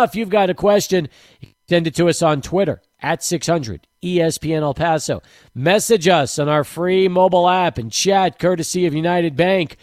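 A male sports radio host with a somewhat high voice, talking in a loose, rambling way and often repeating words as he gathers his thoughts. His voice is dry and close, with no room sound. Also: dry recording. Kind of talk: casual radio interview.

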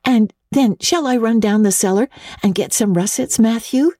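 Chatty storybook girl, dreamy and eager, a woman playing her, bright-pitched but mellow, close dry read. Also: normal speed, no echo, lightly acted. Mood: chattering, earnest. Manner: breathless rambling girlish chatter. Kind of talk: audiobook reading.

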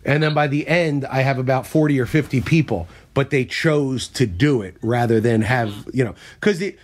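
A man, a stand-up comic, trading stories on a podcast. His voice sits in a middle register. He talks in loose, self-deprecating anecdotes that get animated when he acts out a bit. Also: mid-range voice, normal speed. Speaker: stand-up comedian and podcast host. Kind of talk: casual podcast chat.

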